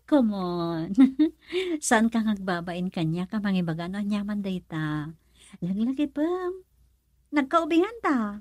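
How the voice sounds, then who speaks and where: A woman's voice: mid-range voice, slow pace, pauses often, no room sound. An actress playing an emotional young woman, a questioning close friend, with a Filipino accent in a radio drama. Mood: teasing, incredulous. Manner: expressive, probing with many questions.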